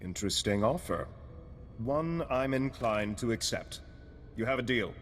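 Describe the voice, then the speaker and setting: A man's voice: lively intonation, a little slow, soft background music, lightly acted. A man playing a shrewd, self-interested, coolly bargaining negotiator in a video game. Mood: smug, measured. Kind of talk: scripted video game cutscene acting. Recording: hall reverb with faint rumbling noise.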